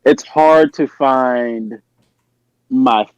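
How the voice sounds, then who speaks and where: The sound is dry and a little muffled. An American man of medium pitch chats casually as a podcast participant. He rambles and keeps pausing to search for his words. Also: clean tone, dry recording. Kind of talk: casual chat.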